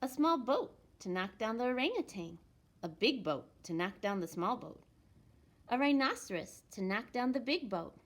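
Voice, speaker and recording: Woman reading a picture book, very lively intonation. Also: a little high. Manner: animated storytelling with deliberate pauses and emphasis. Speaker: elementary school storytime reader. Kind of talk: expressive children's picture-book read-aloud.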